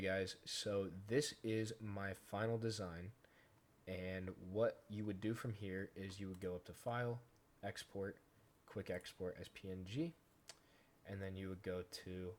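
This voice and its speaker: A man hosting a software tutorial, explaining each step informally in a casual, conversational way. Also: sits low, steady tone, moderate pace. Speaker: tutorial host. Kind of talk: casual screen-recorded software tutorial narration.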